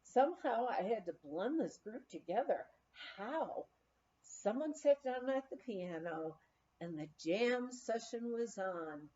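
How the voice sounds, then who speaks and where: A woman's voice: on the high side, expressive, slow pace, warm tone, dull audio. A woman reading a memoir aloud as scripted narration, in a storytelling manner, like an amateur audiobook reader.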